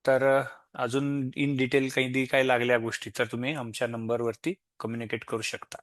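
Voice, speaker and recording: A man's voice: medium pitch, level tone, normal speed, no room sound. Marathi-accented man presenting, very dry sound. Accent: Indian, Marathi. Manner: explanatory, mixes in English tech terms. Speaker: software demo presenter. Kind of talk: informal step-by-step screen tutorial.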